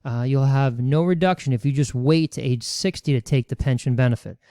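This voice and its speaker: Man teaching step by step, mellow voice. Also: medium pitch, fairly quick, no room sound, clean studio sound. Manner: plain step-by-step teaching with worked examples. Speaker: financial educator presenting a webinar. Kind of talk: explaining benefits rules over slides.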